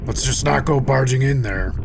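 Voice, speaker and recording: An actor playing a blunt, tough second-in-command soldier in an audio drama, stern and challenging, with a gravelly voice. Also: male voice, mid-range voice, moderate pace, background noise, natural voice. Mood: wary.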